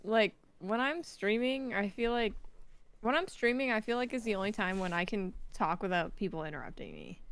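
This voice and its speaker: Woman, soft-spoken, smooth clear tone, medium pitch, casual podcast co-host. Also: lively intonation, normal speed. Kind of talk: casual chat.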